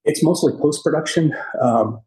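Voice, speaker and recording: A man in his fifties giving an enthusiastic, casual walking tour over a phone video call, speaking in a halting way. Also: fairly high pitch, mellow, husky, rough recording. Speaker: podcast host giving a studio tour. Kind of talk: casual chat, walk-through tour. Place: indoors, walking through studio offices and hallways. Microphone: phone held at arm's length on video call.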